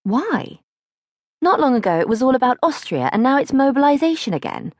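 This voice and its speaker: A woman's voice: sits high, sing-song, fairly quick, no echo, studio quality, pitched above the performer's own voice. A woman voicing an anxious, inquisitive eight-year-old girl in an audiobook diary, plaintive and full of questions. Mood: indignant, puzzled. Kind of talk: acted audiobook diary reading.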